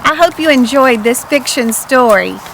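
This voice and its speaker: A woman's voice: sits high, expressive, normal speed, vocal fry, a little hiss or hum, low-quality recording. A woman narrating a children's storybook expressively. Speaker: storybook reader.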